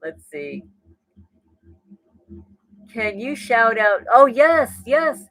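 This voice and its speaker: A woman's voice: a little high, very animated, drawn-out words, fairly bright tone, no room sound, rough recording. A woman with a New Jersey accent hosting a casual livestream, reading and answering viewer comments as she goes. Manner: chatty, thinks aloud, frequent restarts and laughs. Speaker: livestream host.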